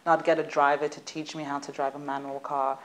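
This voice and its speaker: A woman, a guest in a studio interview, telling stories in an animated, chatty way and laughing at times. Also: deep voice, fast, poor audio. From United Kingdom. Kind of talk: casual interview chat.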